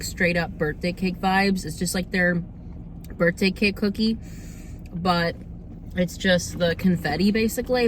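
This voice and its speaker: A young woman talks to her viewers in an informal, chatty review style. Her voice sits a bit low and is slightly hoarse, as if getting over a cold. Faint background noise runs under the recording. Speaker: host of a cookie review video series. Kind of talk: casual chat food review to camera.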